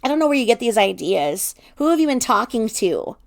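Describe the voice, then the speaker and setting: Storybook role played by a woman: defensive, accusing hothead, raised creaky voice, forceful and exasperated. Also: a little high, big pitch swings, a little fast. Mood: irritated, snapping. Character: short-tempered, defensive adult snapping at a loved one.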